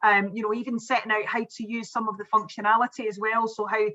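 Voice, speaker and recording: A woman's voice: fairly high pitch, level tone, fast, pushed and bright, lo-fi sound. A woman presenting on an online webinar, chatting casually with fillers and restarts over a video call. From Scotland.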